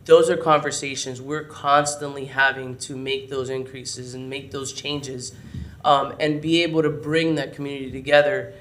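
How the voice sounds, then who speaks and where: A hoarse man with a mid-range voice briefs a city council as parks department director. He is losing his voice but keeps a steady, explanatory delivery, in the setting of a council chamber. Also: medium pitch, moderate pace, a little hiss or hum. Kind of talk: briefing at a public meeting.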